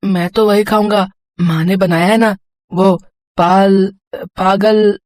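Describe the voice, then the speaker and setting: A woman's voice: medium pitch, fairly even intonation, takes it slowly, a little mellow, dry recording, natural voice. Woman as small eager boy for cartoon tale; childlike, pleading, dry studio sound. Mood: eager, happy. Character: eager, innocent little village boy. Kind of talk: cartoon story voice acting.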